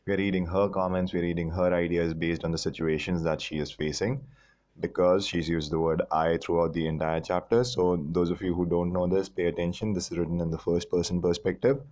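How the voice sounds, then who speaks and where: A man's voice: sits low, a little mellow. A man in his thirties giving a casual, rambling online lesson to students from a small room. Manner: rambling, chatty, self-mocking. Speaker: teacher.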